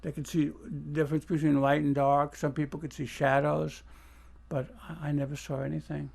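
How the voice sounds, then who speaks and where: Male, higher-pitched with noticeable creak, for candid, halting, informal personal talk. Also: level tone, darkish tone. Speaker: blind interview subject in an audio documentary. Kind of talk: casual candid talk and reminiscence.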